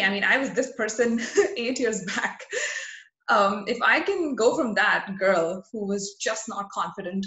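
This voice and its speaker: A woman's voice: mid-range voice, fairly bright tone, reverberant, rough recording. A woman in her thirties from India with an Indian accent, giving an earnest, motivational webinar talk over slides. Her first languages are Hindi, Marwari and Bengali. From India (rural West Bengal). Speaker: webinar presenter.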